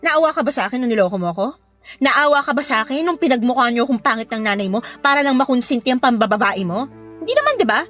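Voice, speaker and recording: A woman's voice: a little high, animated, fast, faint music behind. A woman acting as a fed-up, scornful young mother scolding her ex in a Filipino radio drama. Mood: angry, bitter. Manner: sharp, scolding, sarcastic.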